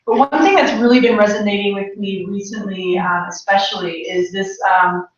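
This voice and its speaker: A woman speaking reflectively and informally on a panel, thoughtful and hesitant, with frequent pauses. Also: medium pitch, steady tone. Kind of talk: reflective informal talk to an audience. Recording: echoey room, distant mic, dull sound.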